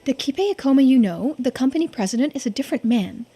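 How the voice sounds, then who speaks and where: A woman's voice: high-pitched, expressive, fast talker, mellow, faint music behind. A woman acting out video game dialogue as she reads it aloud on a playthrough. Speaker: streamer voicing a game playthrough.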